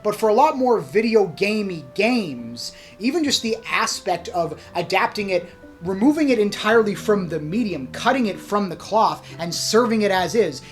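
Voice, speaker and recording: A man from the American South co-hosting a podcast, arguing casually in emphatic, wordy runs with some swearing. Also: sits high. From Southern United States. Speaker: podcast co-host. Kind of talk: casual chat and debate.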